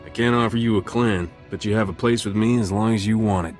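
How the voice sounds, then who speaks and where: An actor voicing a roguish smuggler captain in a video game, laconic and wry. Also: male voice, lowish voice, steady tone, fast talker, soft background music, close to an everyday voice. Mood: matter-of-fact.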